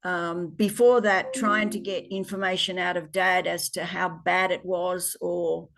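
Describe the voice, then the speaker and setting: A woman in her seventies with an Australian accent, recalling her family's past in an interview, pausing often with ums. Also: lowish voice, little pitch movement, creaky, fairly bright tone. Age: 70s. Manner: hesitant, many ums, reflective. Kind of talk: oral history interview.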